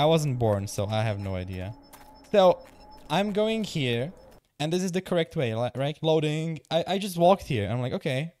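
A man's voice: medium pitch, darkish tone. Young man with Czech accent streaming. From Czech Republic. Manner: chatty, reads chat aloud, swears casually. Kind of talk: casual live-stream chat while gaming.

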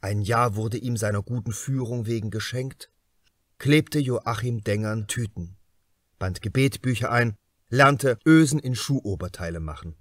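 A man's voice: medium pitch, measured pace, no echo. A man reading a crime novel aloud as a scripted audiobook narration.

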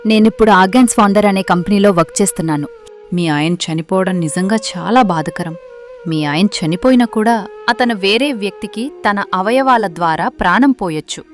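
A woman playing a greedy, scheming young daughter-in-law who talks persuasively in an animated moral story. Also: medium pitch, fairly quick, soft background music, natural voice. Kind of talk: acted dialogue in a Telugu moral story.

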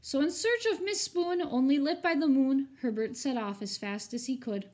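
A woman's voice: sits high, moderate pace, darkish tone. A woman teacher reading a picture book aloud expressively, with informal, chatty asides for children.

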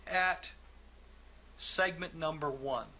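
A male instructor working calmly through a plumbing calculation, explaining each step patiently with small fillers and restarts. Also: on the high side, slow, a little hiss or hum. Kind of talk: instructional walkthrough.

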